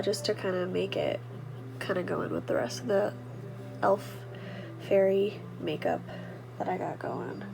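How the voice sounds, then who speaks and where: A woman vlogger chatting casually behind the scenes, soft-spoken and often breathy, nearly whispering. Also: mid-range voice, rough recording. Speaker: ASMR video creator and vlogger.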